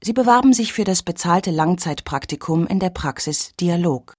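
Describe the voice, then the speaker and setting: Female radio reporter, scripted narration, lowish voice, dry close sound. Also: moderate pace, no echo. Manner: clear, even reporting.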